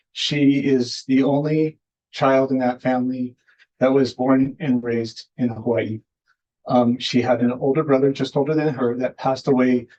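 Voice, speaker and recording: Man, American accent, mellow dark tone, hesitant guest speaker, informal address, dull recording. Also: medium pitch. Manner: halting, hesitant delivery with repeated starts. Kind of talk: informal public speech. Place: indoor event hall, speaking at a podium.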